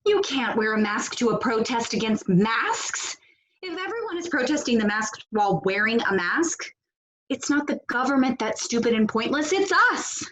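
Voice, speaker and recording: Actress doing blunt protest boss lady, kinda high voice, dry sarcastic comedy on a video call. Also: animated, moderate pace, lightly acted, rough recording. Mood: exasperated. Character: blunt, sardonic female leader of a protest cell. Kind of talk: comedic stage acting over video call.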